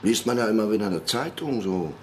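An actor in a radio crime drama playing an evasive neighbor who talks colloquially and defensively. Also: male voice, fairly low pitch, expressive, fast, darkish tone, slight background noise, natural voice. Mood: evasive, casual. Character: evasive, jittery male neighbor being questioned.

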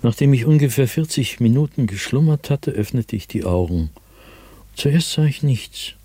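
An actor playing a haunted, fearful diarist sliding into madness in a dramatic, intense radio drama monologue, at times breathy and whispering. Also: male voice, mid-range voice, expressive, normal speed, warm tone, dry recording. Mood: tense, suspenseful.

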